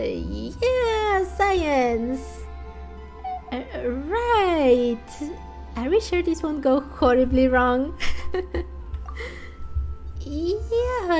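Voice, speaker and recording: A woman voicing a small, excitable, sceptical fairy companion in a game, speaking in an exclamatory way. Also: high-pitched, drawn-out words, long pauses, heavily acted. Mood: doubtful. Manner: exclamatory, indignant, speaks of herself by name. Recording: music under the voice.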